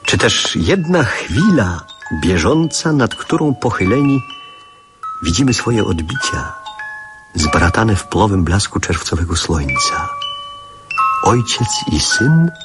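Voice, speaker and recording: An actor playing a nostalgic, reflective father in a radio drama, narrating in a measured, lyrical, husky voice. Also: fairly low pitch, sing-song, over loud music. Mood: pensive. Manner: measured, lyrical, hushed at times. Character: nostalgic man recalling his childhood, a reflective father.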